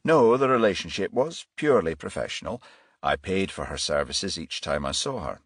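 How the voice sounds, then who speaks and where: A man's voice: deep voice, lively intonation, normal speed, breathy edge, dry recording, studio quality, heavily acted. A man voicing a self-assured, unruffled middle-aged businessman in a crime audiobook, speaking matter-of-factly. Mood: candid.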